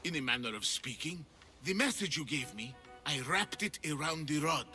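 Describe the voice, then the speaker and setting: An actor playing an anxious, self-important ancient Greek sculptor in a video game, with a theatrical, effusive delivery. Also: male voice, fairly high pitch, lively intonation, normal speed, pushed and bright, faint music behind. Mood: eager, proud. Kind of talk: video game character acting.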